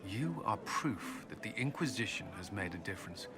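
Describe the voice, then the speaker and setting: An actor playing a dutiful military commander in a fantasy game, sincere and measured. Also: male voice, on the low side, very animated. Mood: earnest, relieved. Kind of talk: dramatic game dialogue. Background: music.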